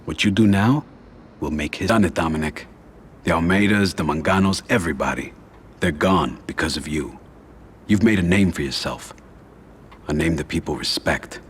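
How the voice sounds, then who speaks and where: A man playing a powerful, calm mafia boss in a video game cutscene, speaking in a measured, grave, authoritative way. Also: low voice, slight background noise, close to an everyday voice. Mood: grave, persuasive. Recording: music under the voice.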